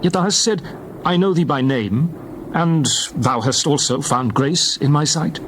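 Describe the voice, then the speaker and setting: A man's voice: a little high, measured pace, lightly acted. A man playing a humble prophet who pleads earnestly and formally in a dramatized scripture reading. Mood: earnest pleading. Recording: noisy background ambience.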